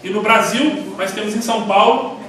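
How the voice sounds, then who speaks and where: A man with a Brazilian Portuguese accent, telling stories in a rambling, animated way while teaching a church class. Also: high voice, moderate pace. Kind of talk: informal church class talk. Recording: echoey hall, mic far off, faint noise.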